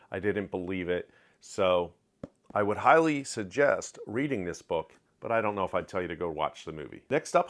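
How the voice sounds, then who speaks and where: A middle-aged man giving a candid, opinionated book review in a home study, talking close to the camera with lively hand gestures. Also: on the low side. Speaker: book vlogger. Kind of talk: casual reading wrap-up review. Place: home study with shelves of collectibles. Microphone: webcam framing, close to camera.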